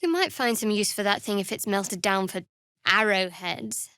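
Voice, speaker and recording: An actress playing a guarded, sardonic young cleric of a dark goddess in a fantasy game, with a dry, wry delivery. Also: female voice, medium pitch, animated, moderate pace, dry recording, natural voice.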